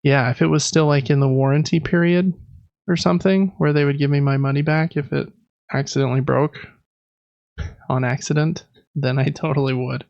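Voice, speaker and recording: A man's voice: a little high, fairly even intonation, moderate pace, creaky, a little mellow, dry recording, highs cut off. An American man in his thirties chatting casually as a podcast co-host, rambling along with self-deprecating humor. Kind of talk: casual chat.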